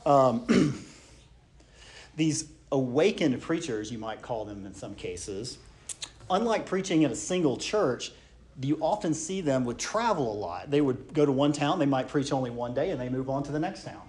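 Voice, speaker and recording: A man teaches church history in an unscripted, conversational way. His pitch sits in a middle range. He often stops on fillers and restarts, and he asks the class rhetorical questions. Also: lively intonation, moderate pace, a little mellow.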